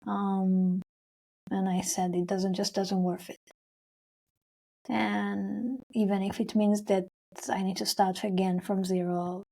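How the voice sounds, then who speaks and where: Slow, mellow-voiced young woman singer. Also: medium pitch. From Israel-Palestine. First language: Hebrew. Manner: rambling, many fillers and restarts, acts out her own past words. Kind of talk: interview storytelling.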